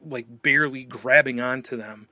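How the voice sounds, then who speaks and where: A man with a bright, forceful voice tells a loose, rambling story on a podcast. His voice sounds close and dry, with no room around it. He stops for filler words and laughs often. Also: medium pitch, fairly even intonation, normal speed, dry recording. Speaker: podcast co-host. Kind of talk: casual chat.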